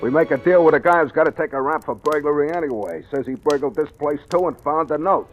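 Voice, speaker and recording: A man's voice: on the high side, fast talker, highs cut off, lightly acted. Actor as a blunt, suspicious gangster henchman. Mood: hard, matter-of-fact. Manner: blunt, clipped tough-guy talk. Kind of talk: 1940s radio crime drama acting. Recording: old radio recording, faint music.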